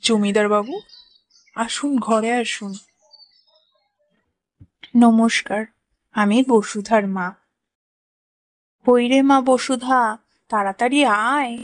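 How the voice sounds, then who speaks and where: A woman's voice: fairly high pitch, fairly even intonation, slow pace, long pauses, no room sound. A woman voicing a poor, anxious, respectful village mother in a cartoon drama. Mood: fussing. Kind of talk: Bengali cartoon drama dubbing.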